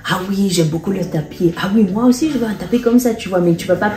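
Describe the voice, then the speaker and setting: A woman's voice: medium pitch, fast talker, mellow. A woman from England with an English accent, speaking French as a second language in a casual couple chat, hesitant and searching for words. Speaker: co-host. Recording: echoey room.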